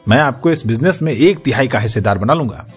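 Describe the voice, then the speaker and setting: Pushy, animated rich businessman acted by a man. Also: medium pitch, fast talker, soft background music, natural voice. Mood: coaxing, persuasive. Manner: insistent, interrupting, wheedling. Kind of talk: audiobook character acting.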